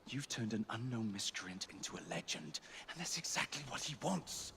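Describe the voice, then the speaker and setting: An actor playing a bold, defiant assassin hero in a video game. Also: male voice, medium pitch, animated, moderate pace, creaky, bright, forceful tone, a little hiss or hum. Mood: stern. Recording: dramatic music behind.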